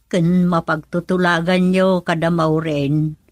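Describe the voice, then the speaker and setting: A woman's voice: lowish voice, level tone, takes it slowly, no echo. An actress playing a conciliatory, deferential female in-law with a Filipino accent in a radio drama. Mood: placating. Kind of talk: Ilocano radio drama acting.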